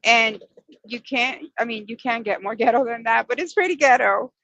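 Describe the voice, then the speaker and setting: A woman's voice: fairly high pitch, normal speed, vocal fry, a bit bright, dry recording, poor audio. A woman from Washington Heights whose first language is Dominican Spanish. She co-hosts a casual livestream from a moving vehicle, reads viewer comments aloud and laughs easily. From Washington Heights, Manhattan. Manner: chatty, laughs easily, apologetic when she loses her place. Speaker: co-host. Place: inside a moving vehicle on a bumpy road.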